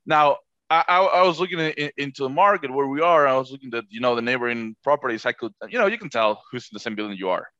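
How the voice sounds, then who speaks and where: A man whose first language is Spanish, chatting on a podcast about managing rentals, halting with frequent restarts. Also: medium pitch, animated, bright, forceful tone, no echo, dull audio. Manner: halting, many restarts, laughs easily. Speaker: short-term rental manager. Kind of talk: casual podcast chat.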